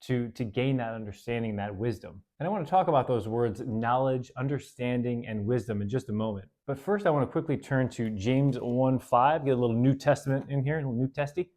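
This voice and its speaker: Brief: male host, relaxed Bible study teacher, chatty with fillers, reads verses slowly. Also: mid-range voice. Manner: relaxed, conversational, with fillers and self-corrections. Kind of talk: casual Bible study talk.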